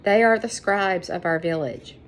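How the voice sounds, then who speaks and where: A woman's voice: mid-range voice, a little slow, natural voice. A woman voicing a respectful, knowledgeable young village girl in a storybook, explaining earnestly. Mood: informative. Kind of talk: storybook character voice.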